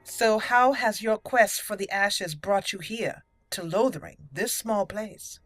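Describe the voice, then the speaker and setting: A woman's voice: mid-range voice, takes it slowly, a bit bright, no room sound. A woman giving casual commentary on a fantasy video game and reading dialogue choices aloud in character. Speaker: let's play host.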